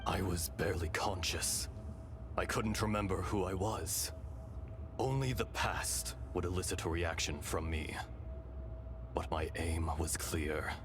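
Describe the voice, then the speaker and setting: An actor playing a calm, wistful ancient dragon spirit in human form for a video game, speaking solemnly and deliberately. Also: male voice, low-pitched. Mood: grave. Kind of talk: dramatic video game voice acting. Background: game music.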